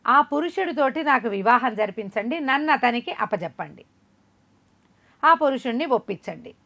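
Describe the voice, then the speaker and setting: A woman's voice: fairly high pitch, animated, normal speed, fairly bright tone. A woman voicing a wronged young woman who pleads earnestly before a king in a told folk tale. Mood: pleading.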